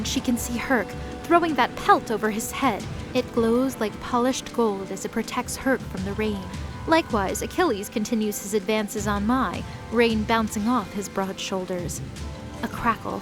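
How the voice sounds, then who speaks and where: A woman narrating an audio drama in a measured storytelling voice. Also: fairly high pitch. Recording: narration over loud music.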